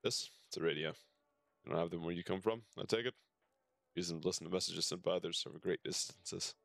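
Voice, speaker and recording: Deep-voiced man reading game text aloud. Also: quick pace, vocal fry, a bit bright, no room sound. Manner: stumbles over text while reading aloud. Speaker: game streamer. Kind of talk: reading game dialogue aloud with casual comments. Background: game music.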